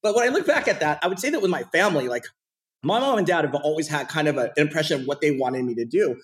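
A man in his thirties from Portland, Oregon, a podcast guest telling his career story in a casual, animated way with plenty of fillers. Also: on the high side, fast talker.

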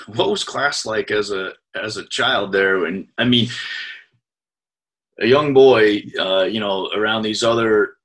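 A man's voice: lowish voice, slow, fairly bright tone. A man interviewing a guest, asking friendly, rambling questions full of fillers and restarts. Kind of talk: conversational interview.

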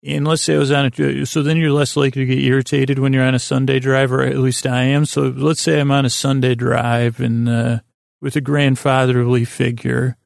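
Gravelly man in a meandering bedtime monologue. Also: mid-range voice, flat delivery, normal speed, no room sound, studio quality. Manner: meandering, hesitant, self-interrupting; lulling, soothing delivery. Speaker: podcast host.

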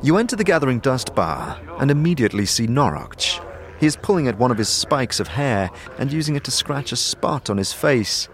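A man's voice: mid-range voice, big pitch swings, a little fast, slight background noise. A man giving dramatic scripted second-person narration for a fantasy audio drama. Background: music.

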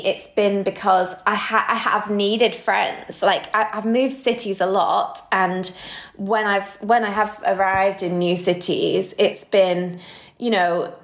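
Echoey-sounding woman in her thirties, chatting. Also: mid-range voice. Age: early thirties. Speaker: podcast co-host. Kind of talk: casual podcast chat. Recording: roomy, echoey room sound.

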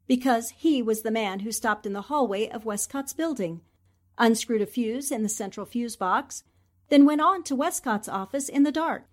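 High-voiced, confident woman newspaper sleuth acted. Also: normal speed, close to an everyday voice. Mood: intent, explaining. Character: sharp, confident newspaperwoman sleuth explaining a murder. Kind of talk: 1940s-style radio drama acting.

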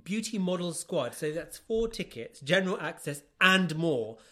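A man co-hosting a podcast in casual comedic chat, animated and exclamatory, with some swearing. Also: fairly high pitch, moderate pace. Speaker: podcast co-host.

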